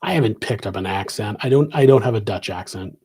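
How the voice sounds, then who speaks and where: A man's voice: fairly low pitch, expressive, brisk, darkish tone, slightly rough. A man with a West Coast American accent, hosting a live Q&A and answering in a casual, rambling way full of fillers and restarts. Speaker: podcast host answering questions.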